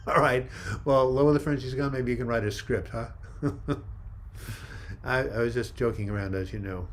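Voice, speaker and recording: An older man hosting a casual live chat, reading viewer comments in a calm, soft-spoken way with frequent restarts. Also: mid-range voice, normal speed, pauses often, slight background noise. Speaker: livestream host.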